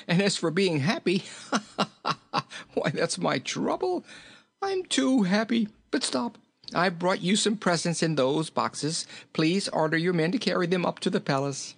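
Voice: medium pitch, big pitch swings, unhurried, gravelly, slightly rough, pitched above the performer's own voice, full character voice. A narrator voicing a jolly, fat little king from a storybook, chuckling, exclaiming and repeating himself merrily. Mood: cheerful.

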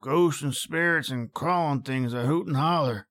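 A man's voice: on the high side, moderate pace, fairly bright tone. An actor playing a garrulous, superstitious old country man with a rural American accent, telling local legends in a rambling folksy way in an old-time radio drama. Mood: spooked, folksy. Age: older. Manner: folksy, rambling, emphatic dialect speech.

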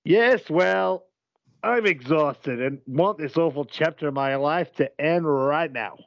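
A weary, flustered call-in show host, played by a man in a comedy audio play. He speaks in a fairly high voice with stammering politeness, recorded dry and slightly dull. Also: slow pace, dry recording. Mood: exasperated.

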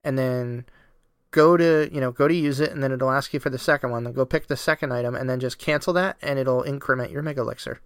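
A man's voice: mid-range voice, fairly even intonation, fast, clean tone, no room sound, studio quality. A man co-hosting a gaming podcast, chatting with enthusiasm and swearing casually. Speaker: podcast co-host.

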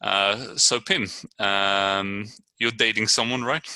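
Guy hosting a podcast, low raspy-ish fry, punchy bright tone, dead dry mic, lots of uhs. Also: slow, no echo. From Brighton, England. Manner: relaxed, filler-heavy questions. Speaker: podcast host.

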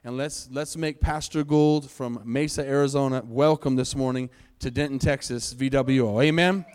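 Smooth-voiced pastor making church announcements. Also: male voice, medium pitch, fairly even intonation, normal speed, a little mellow. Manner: upbeat, calls for amens. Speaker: church pastor introducing guest. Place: church sanctuary.

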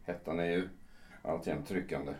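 A male actor plays a middle-aged gentleman lawyer in a radio play, pitched a little low. He is sociable and practical, talking conversationally and nudging with questions. The room around him echoes clearly. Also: steady tone, natural voice. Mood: chatty, prodding. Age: 50s. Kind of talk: theatrical radio drama acting. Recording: roomy echo.

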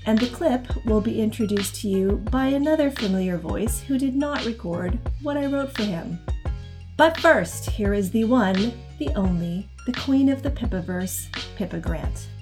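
A woman's voice: a little high, lively intonation, moderate pace, music in the background. A woman hosting a podcast, chatting casually as she introduces and interviews an author.

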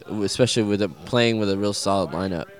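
A man with a Southern US accent, a musician answering interview questions in a loose, halting way, full of fillers and restarts. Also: deep voice. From American South. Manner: rambling, halting, many fillers and restarts, swears casually.

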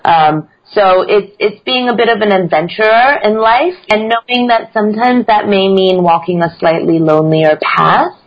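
A woman's voice: mid-range voice, moderate pace, few pauses. An American-born woman guest on a podcast, talking about her work in an upbeat, fluent way with frequent ums. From United States. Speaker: guest, learning-design entrepreneur. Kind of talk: casual interview chat. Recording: dull lo-fi sound.

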